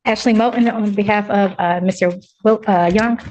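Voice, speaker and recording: A woman speaking as a defense attorney in court, hesitant and halting as she searches for words. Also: medium pitch, fairly even intonation, darkish tone, highs cut off, a little hiss or hum. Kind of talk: spoken argument in court.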